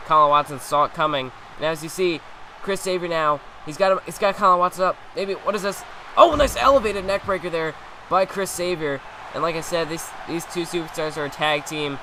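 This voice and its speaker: Excitable young man calling a game. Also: on the high side, background noise. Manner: excitable, rambling play-by-play with frequent restarts. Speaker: let's-play commentator. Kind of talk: play-by-play game commentary.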